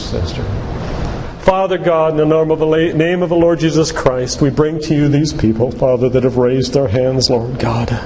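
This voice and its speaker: A man leading a church altar call and prayer, speaking earnestly in short phrases with pauses, recorded live in a church. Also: mid-range voice, long reverb tail, background noise.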